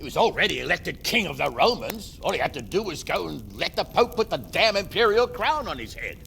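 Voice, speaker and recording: A man's voice: a little high, big pitch swings, brisk, vocal fry, fairly bright tone, slight background noise, full character voice. A man playing a blunt, wry, impatient medieval lord in a video game, with a sardonic manner. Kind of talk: dramatic video game acting.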